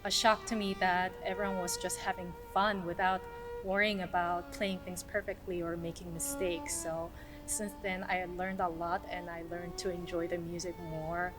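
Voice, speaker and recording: A woman's voice: fairly low pitch, level tone, normal speed. Woman musician from South Korea over music. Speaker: orchestral violinist. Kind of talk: casual scripted-outline self-introduction to camera.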